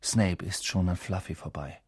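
A man reads as a determined young boy wizard in a storybook. The voice is hushed and slightly husky, with a serious, earnest manner. It is recorded close and dry. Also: deep voice, moderate pace, no echo, voice pushed down. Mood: quiet, resolute. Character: earnest boy wizard, quietly determined among his friends.

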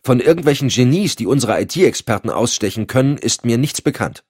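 A man's voice: medium pitch, lively intonation, brisk, darkish tone, slightly rough, dry recording, studio quality, voice pushed up. A man voicing a blunt, outspoken young male FBI agent. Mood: indignant. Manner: raised and blunt, later whispering. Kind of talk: audiobook acting.

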